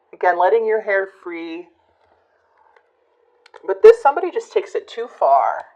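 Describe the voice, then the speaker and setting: A woman giving a casual tarot reading to her viewers, musing and hesitant, her voice a bit hoarse from a recent illness. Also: lowish voice, highs cut off. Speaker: tarot reader.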